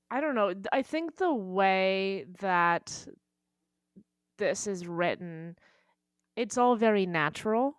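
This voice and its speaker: A woman's voice: mid-range voice, expressive, slow pace, clean tone, no echo, studio quality. A woman on a podcast panel chatting casually about books, hesitant and informal as she thinks aloud. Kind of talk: casual chat, book discussion.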